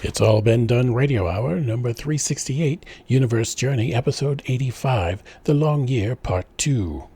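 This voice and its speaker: A man's voice: medium pitch, normal speed, warm tone, husky. A man reading scripted closing credits for an audio drama as its announcer.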